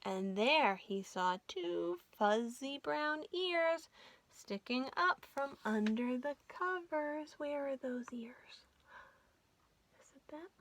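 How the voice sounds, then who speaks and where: A woman hosting a library storytime, reading a children's picture book aloud in an expressive, child-directed way. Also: high-pitched, slow pace.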